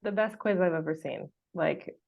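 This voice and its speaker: A woman's voice: lowish voice, moderate pace, creaky, muffled recording. A woman with a West Coast American accent hosting a podcast, chatting casually with lots of fillers and frequent laughter. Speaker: podcast host. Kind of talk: casual chat.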